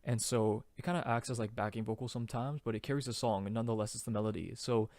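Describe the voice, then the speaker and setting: A man's voice: fairly low pitch, fairly even intonation, fast talker, a little mellow, no room sound, studio quality. A man teaching a song's vocal harmonies in a loose, chatty way, with many fillers and restarts. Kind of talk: casual harmony tutorial.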